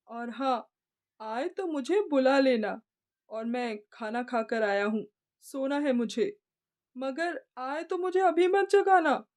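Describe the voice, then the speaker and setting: A woman's voice: sits high, darkish tone. A woman voicing a rude, hot-tempered grown son in a storybook tale, brusque and threatening. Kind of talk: acted dialogue in a moral storybook tale.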